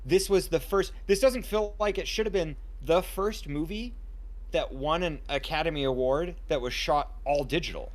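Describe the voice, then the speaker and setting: A man's voice: fairly high pitch, normal speed. A man co-hosting a podcast in casual chat, playful and teasing toward his friend. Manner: playful, teasing, calls friend dude and homie. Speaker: podcast co-host.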